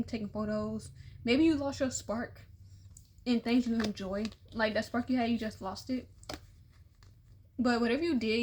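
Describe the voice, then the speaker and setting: A young adult woman, a tarot reader losing her voice, giving a casual, chatty reading that repeats itself and drops into soft murmurs. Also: a little high. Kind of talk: casual tarot reading for all zodiac signs.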